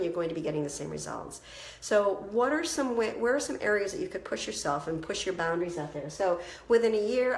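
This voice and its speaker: Congested woman coaching in an echoey room. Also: mid-range voice. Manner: conversational, encouraging, with frequent restarts. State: congested from a cold. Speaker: business coach. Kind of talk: instructional talk to camera.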